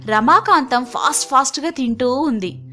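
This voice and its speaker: High-pitched woman narrating a story. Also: expressive, moderate pace, pushed and bright. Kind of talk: scripted moral-story narration.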